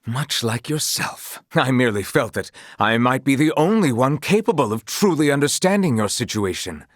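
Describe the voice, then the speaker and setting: An actor playing an earnest, honorable young knight in a fantasy video game, speaking in formal, archaic, measured phrases. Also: male voice, medium pitch, very animated, no room sound, studio quality. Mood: solemn. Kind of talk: dramatic video game voice acting.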